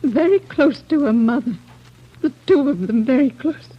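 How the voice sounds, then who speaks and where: A woman's voice: high-pitched, moderate pace, close to an everyday voice. An American woman acting a shaken, grieving neighbour in a 1940s radio drama, faltering and mournful. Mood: subdued grief. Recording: dull old radio recording.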